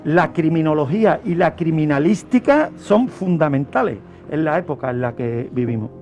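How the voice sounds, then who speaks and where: A man's voice: fairly high pitch, expressive, moderate pace, a little mellow, faint music behind. A man giving didactic, emphatic explanations in a radio discussion. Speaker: criminology commentator on a radio show.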